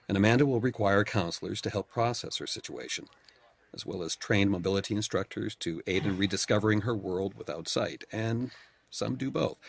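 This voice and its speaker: An actor playing a patient, clinical doctor who explains test results firmly. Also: male voice, fairly low pitch, creaky, no echo. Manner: measured, clinical, firm. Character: calm, patient male doctor delivering hard news. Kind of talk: audio drama acting.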